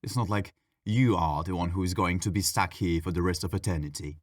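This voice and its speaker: Man acting as a mischievous library ghost, low-pitched, sassy, petulant, conversational, dry close recording, ASMR audio roleplay. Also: fairly quick, no room sound. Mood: sassy, grumbling. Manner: sassy, casual, dramatic complaining.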